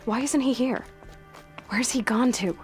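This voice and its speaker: An actress playing a headstrong young outcast huntress in a video game, wry and questioning. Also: female voice, a little high, measured pace, close to an everyday voice. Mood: puzzled. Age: young adult. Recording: music under the voice. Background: music.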